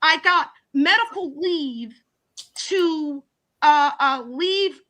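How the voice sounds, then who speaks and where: A woman caller arguing loudly and insistently in a live chat, often restarting and repeating her words. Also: high voice, very slow. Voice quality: loud projecting voice. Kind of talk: heated debate on a live chat.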